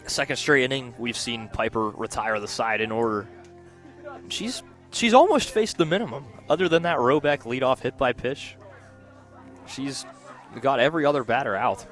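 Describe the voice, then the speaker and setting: A man giving conversational color commentary on a live softball broadcast from the field. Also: mid-range voice, moderate pace, soft background music. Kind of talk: conversational live sports analysis. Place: outdoor softball field, under a tent.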